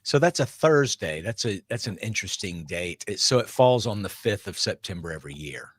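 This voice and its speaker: A man's voice: low voice, big pitch swings, fairly quick, breathy edge, dry recording. A man chatting casually as a guest on a panel show.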